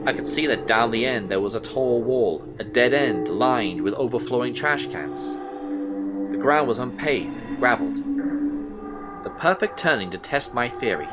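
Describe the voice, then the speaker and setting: A man's voice: mid-range voice, expressive, lightly acted. Man acting a haunted investigator over music. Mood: wary, haunted. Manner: deliberate, suspenseful storytelling in short phrases. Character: shaken, anxious male investigator in a horror tale. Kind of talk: first-person dramatic narration in audio drama.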